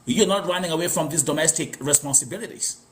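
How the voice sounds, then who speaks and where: A man's voice: a little high, fast. A man with a Kenyan accent hosting a casual live panel chat, speaking in a blunt, emphatic way and repeating himself. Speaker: host of a live discussion show. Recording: clear background noise on live stream.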